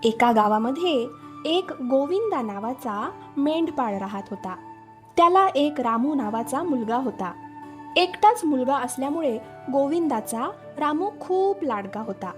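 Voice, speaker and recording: High-pitched woman telling children's stories. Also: lively intonation, a little slow, faint music behind. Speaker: storyteller, channel host. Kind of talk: scripted children's story narration.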